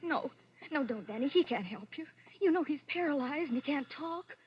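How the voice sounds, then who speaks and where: A woman's voice: sits high, lively intonation, normal speed, slight background noise. An actress playing a frightened, devoted young woman, anxious and pleading with her fugitive lover in 1940s radio melodrama style. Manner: anxious, pleading, breathlessly emotional. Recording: dull lo-fi vintage radio recording.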